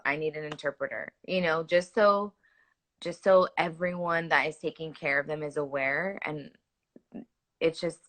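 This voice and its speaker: A young adult woman, a hospital nurse, answering interview questions in an earnest, animated way, with many "like" fillers and restarts. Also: low-pitched, moderate pace.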